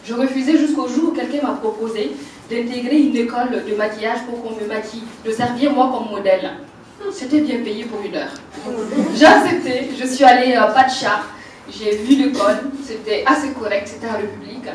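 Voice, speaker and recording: Burkinabe lady in her 40s chatting about her life, bit high, lots of euhs and laughs, roomy far-off mic. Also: moderate pace, slight background noise. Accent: Burkinabe French. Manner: animated, laughing, many hesitations. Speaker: makeup artist telling her life story. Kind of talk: personal life story talk. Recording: roomy, distant mic, faint ambience.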